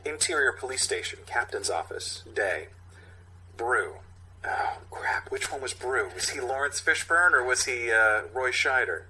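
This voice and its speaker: A man's voice: mid-range voice, lively intonation, measured pace, sharp tone, slight background noise, poor audio. A man co-hosting a podcast, chatting casually and reading out script directions. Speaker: podcast co-host.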